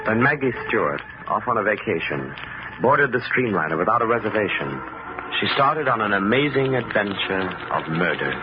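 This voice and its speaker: Music plays clearly under a mystery narrator on a dull old radio recording. His low voice is measured and portentous, telling the tale in dramatic scripted style. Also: very animated. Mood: dramatic, ominous.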